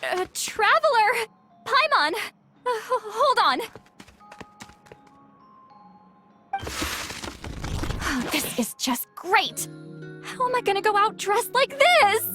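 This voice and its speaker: A woman's voice: very high-pitched, sing-song, a little slow, heavily acted. A woman playing a grumpy, easily embarrassed young-sounding woman in a video game, huffy and prone to stammer. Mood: flustered. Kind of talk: video game character acting. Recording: clear music behind.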